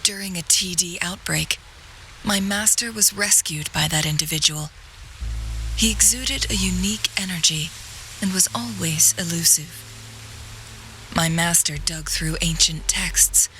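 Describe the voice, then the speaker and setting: A woman playing a composed, courteous strategist in a video game, speaking in a poised, measured, formal way with gentle teasing. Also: lowish voice, slight background noise, rough recording. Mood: composed, explanatory. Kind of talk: video game story dialogue.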